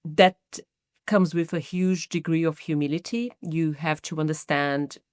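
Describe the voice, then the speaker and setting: Woman, Brazilian accent, deep voice, measured and reflective, dry sound. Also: fairly even intonation, a little mellow, dry recording. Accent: Brazilian Portuguese. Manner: thoughtful, measured, many restarts. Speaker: guest, marketing executive. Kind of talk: podcast interview.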